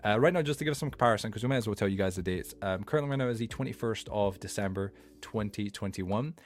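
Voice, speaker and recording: Fast-talking young male podcast host. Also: fairly low pitch. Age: 20s. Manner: rambling, many fillers and restarts. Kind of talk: casual late-night podcast chat.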